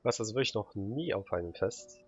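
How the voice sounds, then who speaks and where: A man from Germany with a low voice chats casually while he plays a game. He throws in short asides as the scene unfolds, and the recording sounds slightly dull and lo-fi. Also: lively intonation, fairly quick, faint music behind. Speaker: game streamer. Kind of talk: casual let's-play commentary.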